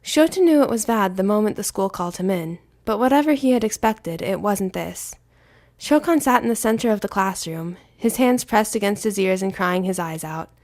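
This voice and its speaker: Woman narrating a story, mid-pitched. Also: darkish tone. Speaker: amateur audiobook narrator.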